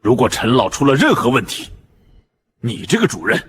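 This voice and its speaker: A man's voice: medium pitch, huge pitch swings, normal speed, fairly bright tone, a little hiss or hum. A man playing a stern middle-aged hospital director who speaks with commanding authority.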